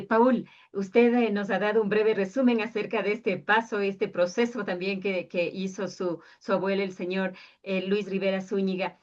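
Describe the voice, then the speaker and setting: Woman interviewer with a dull lo-fi sound. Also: mid-range voice. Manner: long winding questions, measured. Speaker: radio interviewer. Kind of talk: interview questions.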